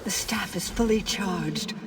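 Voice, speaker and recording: A woman's voice: medium pitch, unhurried, background noise, lightly acted. An actress playing a commanding female spirit who guides a hero in a fantasy game, speaking in a solemn, formal way. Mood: solemn urging.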